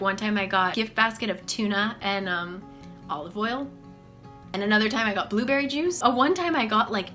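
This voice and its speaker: Vlogger, woman, 20s, from the US; bright tone, animated casual delivery, soft background music. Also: medium pitch. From United States. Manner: chatty, lots of "like" and "um", animated. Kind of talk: casual Q&A chat to camera. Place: small bedroom office, indoors.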